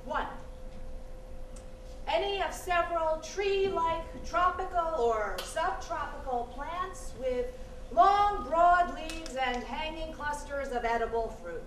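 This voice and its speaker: An actress with an American accent playing a wry, wistful Korean American adoptee in a live stage monologue, speaking dramatically and deliberately with pauses. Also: female voice, sits high, a bit bright. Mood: mock-formal. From United States. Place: live stage performance in a venue. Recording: roomy, noisy, distant stage recording.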